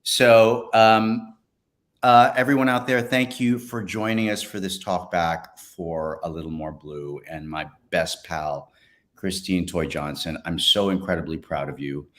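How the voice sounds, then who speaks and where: A man hosting a post-show talkback, speaking in a heartfelt, supportive and somewhat halting way. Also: low-pitched, steady tone, a bit bright. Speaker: talkback host.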